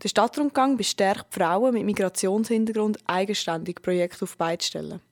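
A woman narrates a radio news feature. Her delivery is scripted, measured and even, at a middle pitch. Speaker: radio reporter.

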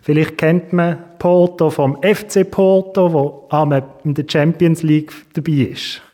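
A man's voice: on the high side, fairly even intonation, a little slow, warm tone. A man, a guest giving plain, matter-of-fact answers in a casual interview. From Switzerland. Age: 20s.

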